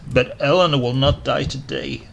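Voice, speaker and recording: A man's voice: mid-range voice, fairly even intonation, normal speed, vocal fry, a bit bright, slight background noise. A man voicing a horrified, agitated young male doctor who protests urgently in a horror story. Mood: reluctant protest.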